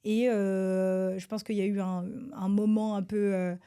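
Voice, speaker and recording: A woman from France, a government advisor, answers interview questions in a hesitant, halting way with many filler sounds and restarts. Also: medium pitch, little pitch movement, dark tone, smooth. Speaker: government advisor, podcast guest.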